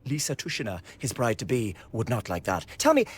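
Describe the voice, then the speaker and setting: A spiteful young revolutionary in a radio play. His medium-pitched voice swoops theatrically as he taunts and sneers. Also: sing-song, a little fast, husky. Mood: sneering. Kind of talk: radio drama acting.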